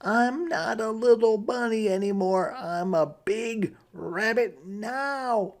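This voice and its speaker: A man voicing an excitable young boy rabbit in a storybook, pleading and defiantly insisting he is grown up. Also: sits high, slow, pitched above the performer's own voice.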